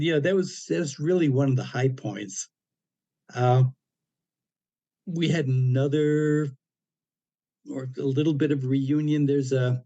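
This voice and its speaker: A man around seventy, a podcast guest, telling a personal story in a relaxed, anecdotal way with frequent "you know" fillers and dry humor. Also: mid-range voice, highs cut off. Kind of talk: casual storytelling interview.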